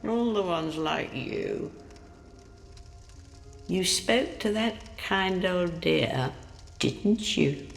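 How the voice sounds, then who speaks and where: A gravelly, eerie old crone. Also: female voice, fairly low pitch, expressive, exaggerated voice. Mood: eerie mocking. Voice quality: gravelly, slow and drawn out. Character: cackling old crone keeper, eerie, mocking. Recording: long hall reverb with faint music.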